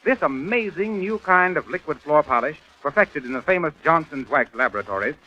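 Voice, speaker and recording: A man's voice: on the high side, expressive, brisk, bright, forceful tone. A man announcing in scripted 1930s radio advertising style, with a polished, upbeat salesman delivery. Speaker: radio announcer and sponsor pitchman. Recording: dull, band-limited 1930s radio recording.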